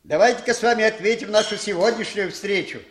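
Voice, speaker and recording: An actor playing a smooth, condescending Western intelligence handler in a 1960s Soviet radio play, wheedling and prodding as he talks. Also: high-pitched, fast, close to an everyday voice. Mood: wheedling, needling. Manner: ingratiating, insistent, tags questions with prodding appeals.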